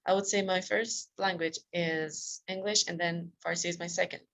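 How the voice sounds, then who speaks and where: A woman from Iran, a self-discipline coach, answering interview questions candidly with soft laughs. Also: fairly low pitch, fairly even intonation, normal speed, gravelly.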